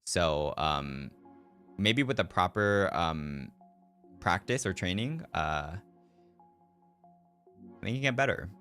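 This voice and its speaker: A man's voice: sits low, expressive, slow, long pauses, pushed and bright. A man who streams as a virtual character, chatting casually to live viewers in a rambling, reflective way and often repeating his own phrases. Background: background music.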